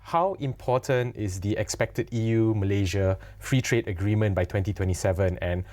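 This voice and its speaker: A man's voice: on the low side, moderate pace, a little mellow. A man hosting a broadcast interview, asking long, conversational questions with some fillers. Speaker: host.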